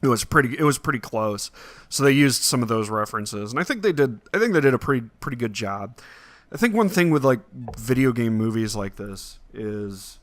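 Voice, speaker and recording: A man with an average-pitched voice talks casually as a podcast host. He phrases things haltingly, circling back over his words. The sound is dry, with very little room in it. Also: lively intonation, no echo. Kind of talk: casual movie discussion podcast.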